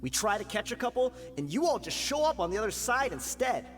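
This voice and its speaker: An actor playing a cornered, shifty rank-and-file bandit in a video game, blustering and evasive. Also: male voice, high-pitched, sing-song, fairly quick, a bit bright, big-room sound, faint music behind. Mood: sneering.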